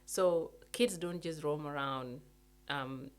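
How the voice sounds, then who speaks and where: An earnest young adult speaker, chatting casually at a middle pitch. They circle back to make their point again and laugh lightly now and then. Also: slow pace. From South Sudan. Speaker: guest in a conversation. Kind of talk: casual chat.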